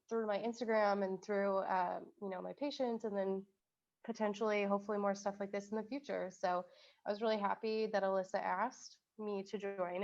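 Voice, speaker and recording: A woman with a slight cold speaks as a guest doctor over a video call. She is friendly and informal as she explains things. The call audio sounds a little dull and muffled. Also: medium pitch. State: has a cold. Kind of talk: casual video call talk.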